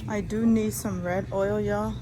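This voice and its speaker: A woman's voice: mid-range voice, steady tone, unhurried. A woman from Liberia chatting casually to her viewers while shopping in a store, often calling them "y'all". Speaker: family vlogger. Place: inside a large store. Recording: noisy store background.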